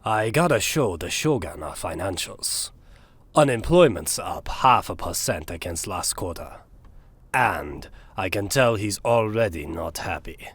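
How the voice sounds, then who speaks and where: A man playing a nervous, lonely office worker who speaks in a hesitant, awkward way, with a husky voice. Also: deep voice, animated, measured pace, fairly bright tone, no echo, full character voice.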